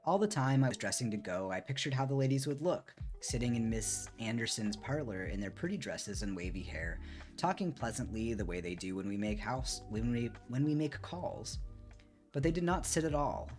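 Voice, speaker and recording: A man with a mid-pitched, highly animated voice reads aloud for a stream. He moves between expressive narration and casual remarks, close on the mic, with soft music behind him. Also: moderate pace. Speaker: streamer reading a book aloud.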